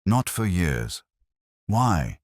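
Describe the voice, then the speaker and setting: A man voicing a proper, hesitant Victorian widow in a hushed, deliberate, formal manner for a storybook. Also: low voice, sing-song, no echo, studio quality, pitched above the performer's own voice, exaggerated voice. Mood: puzzled, recalling.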